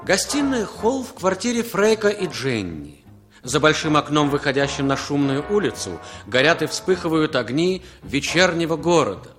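A man's voice: a little high, sing-song, normal speed. A man narrating a 1960s Soviet radio play in a theatrical, declaimed style. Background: music.

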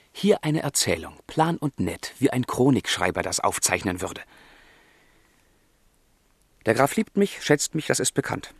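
A man's voice: medium pitch, dry recording, studio quality. An actor playing a passionate, melancholy young man in love, speaking earnest literary German radio drama lines. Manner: earnest, literary, brooding, emotional.

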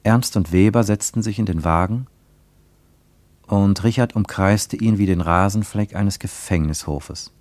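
A man acting as the grave, reflective narrator of a literary radio play, with deliberate dramatic phrasing. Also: lowish voice, a little mellow, no echo, close to an everyday voice. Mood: grave, suspenseful.

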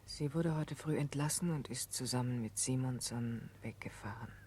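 On a slightly noisy old radio recording, an earnest, compassionate woman. She speaks as a moral confidante, gently and deliberately, in a vintage drama style. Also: a bass voice. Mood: earnest, consoling. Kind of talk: 1960s German radio drama acting.

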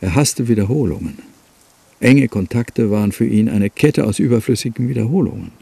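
A man's voice: medium pitch, moderate pace, vocal fry, dark tone, breathy edge, no echo. An actor playing a bereaved brother, a sober, curt man speaking in clipped radio play dialogue. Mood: sober, probing.

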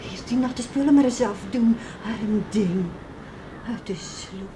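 An actress playing a warm, fussing beachcomber's wife and mother in a Dutch radio drama. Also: mid-range voice, slow, natural voice. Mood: astonished, tender. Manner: tender, fussing, exclaiming. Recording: noisy old radio play recording.

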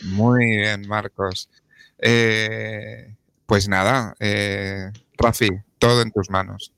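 A man's voice: fairly low pitch, flat delivery, extremely slow, vocal fry, fairly bright tone, smooth, dry recording. A man co-hosting and presenting a community radio programme. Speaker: radio co-host.

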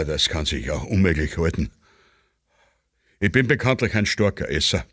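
A self-important Viennese heavy eater, acted by a man for a satirical radio play. He complains pompously, with a lively, swooping melody. The sound is dry and close. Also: lowish voice, no room sound.

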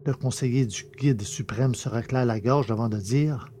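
A man narrating an audio drama in a measured storytelling way. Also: medium pitch, dark tone, slight background noise. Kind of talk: scripted narration.

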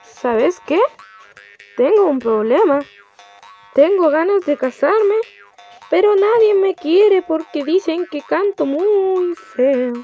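A high, slow-spoken young woman acting a conceited, sly male fox from a radio play, with a Chilean accent and a whiny, boastful delivery. The recording is dull and lo-fi with music behind it. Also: expressive, full character voice. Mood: wistful, eager. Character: vain, deceitful male fox who loves to sing.

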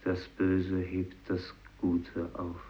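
Guilt-laden fisherman, deep, solemn, deliberate, radio drama, dull lo-fi recording. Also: completely flat delivery. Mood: solemn, brooding. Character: brooding guilt-laden Japanese fisherman confessing. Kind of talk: 1950s German radio drama monologue.

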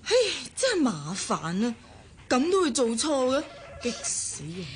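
An actress playing a gossipy, animated young office worker in a Hong Kong Cantonese radio drama. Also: female voice, a little high, a little slow, slight background noise. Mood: grumbling. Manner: animated, teasing, coy.

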